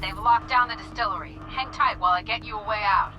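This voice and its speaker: A man acting an urgent game mission handler with a very high voice, briefing a strike team in clipped commands. Loud music plays over the voice. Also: quick pace, muffled recording, natural voice. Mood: tense. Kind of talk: scripted game mission dialogue.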